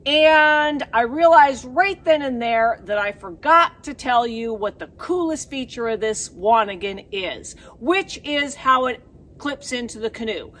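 Outdoorsy woman guide, high voice, chatty and down to earth, out in the snow. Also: measured pace, a bit bright. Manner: folksy, plainspoken storyteller. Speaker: Maine guide, show host. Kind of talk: casual outdoor demonstration. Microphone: camera mic, handheld at times.

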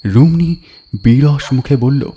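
A man narrating a crime story in a measured, suspenseful, dramatic storytelling style. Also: medium pitch, dark tone, husky. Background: background music.

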